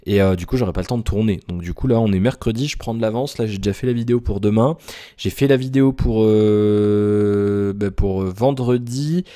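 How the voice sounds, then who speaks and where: Sleepy guy rambling, mellow voice. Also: fairly low pitch, brisk. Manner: rambling, many fillers and sighs, informal slang. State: tired, yawning. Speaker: YouTuber giving daily tech news and channel update. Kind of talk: casual vlog chat.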